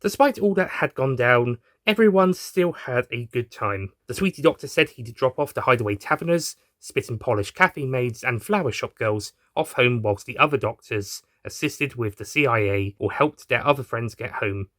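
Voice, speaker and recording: A man reading a scripted story aloud as an expressive narrator. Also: medium pitch, normal speed, few pauses, no room sound, studio quality. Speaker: narrator and reader.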